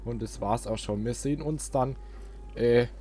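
A man's voice: mid-range voice, moderate pace, warm tone, a little hiss or hum. A man giving casual, informal let's play commentary, often muttering to himself. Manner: informal, muttering self-talk. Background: game music.

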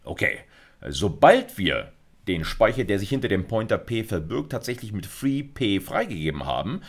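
A man's voice: on the low side, big pitch swings, normal speed, breathy edge. A middle-aged man giving an explanatory university video lecture in a conversational way, often checking in with short tags. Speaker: university computer science lecturer.

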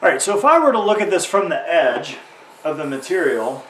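A man's voice: fairly high pitch, sing-song, brisk, a bit bright. A man in his thirties giving an informal how-to explanation to camera as an instructor, in plain, simple terms. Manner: explanatory, uses simple analogies. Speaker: welding instructor. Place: garage or workshop beside a tractor. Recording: roomy, distant mic, faint background noise.

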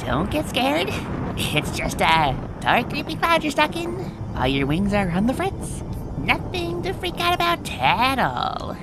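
A woman's voice: a little high, wildly swooping pitch, a little slow, noisy background. A woman voicing a brash, cocky young female cartoon pegasus in a comic dub, with a boastful, dramatic delivery. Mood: scared, defensive. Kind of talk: animated comic dub acting. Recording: voice over background music.

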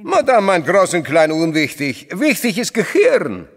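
High, animated, fawning Russian go-between. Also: male voice, unhurried, creaky, full character voice. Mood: effusive. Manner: broken grammar, drops articles, emphatic. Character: eager Russian go-between for a ballerina, fawning and blunt. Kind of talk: audio drama acting. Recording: long hall reverb, music underneath. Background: music.

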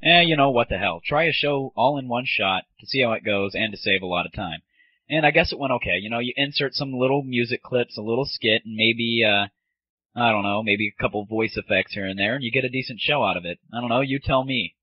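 A man's voice: medium pitch, fairly quick, breathy edge, no room sound. A man hosting a comedy audio show, ranting casually in a sarcastic, rambling, profane way. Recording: muffled lo-fi 1990s internet audio. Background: music.

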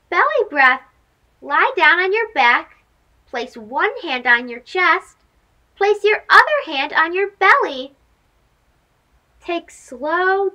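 A woman's voice: very high voice, sharp tone, highs cut off. A young woman hosting a children's read-aloud, talking in a sing-song way to little listeners as she reads a picture book.

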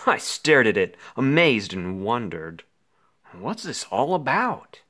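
A man reading a rhyming children's story aloud theatrically, with dramatic pauses, as an anxious, melodramatic five-year-old boy. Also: mid-range voice, very animated, pushed and bright. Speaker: picture book reader.

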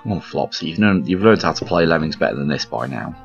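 A man casually commentating on a puzzle game as he plays, rambling and poking fun at himself, his voice croaky today. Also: extremely low pitch, expressive, fast. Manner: rambling, self-deprecating, chuckles often. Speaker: gameplay commentator. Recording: slightly dull lo-fi recording with game music behind.

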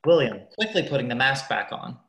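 A man's voice: medium pitch, sing-song, fairly quick, gravelly, fairly bright tone. A young man reading stage directions as the narrator of a radio play, in scripted narration. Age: young adult. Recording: echoey room.

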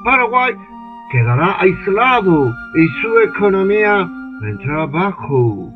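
An older man in his sixties plays a smooth, manipulative male British ambassador in a radio drama recorded over a video call, talking slowly and persuasively. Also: high voice, sing-song, heavily acted. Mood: scheming. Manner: slow, insinuating, persuasive. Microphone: computer webcam mic on a video call. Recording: tinny phone-like line with faint music.

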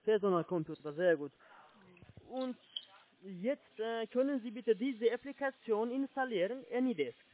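High-pitched man on a muffled phone. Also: animated, a little hiss or hum. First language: not German. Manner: polite, halting, with repeated confirmations. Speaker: call-centre phone agent. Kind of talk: salesman giving step-by-step instructions on a phone call. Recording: muffled, dry telephone line.

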